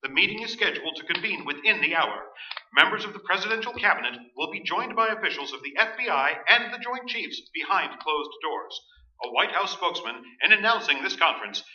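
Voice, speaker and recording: Actor as a sharp, urgent radio news announcer man. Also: on the high side. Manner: formal, emphatic, measured announcer delivery. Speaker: actor in a staged radio play. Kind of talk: radio newsreader acting, formal bulletin. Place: stage performance in an echoey room. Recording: dull, echoey live stage recording.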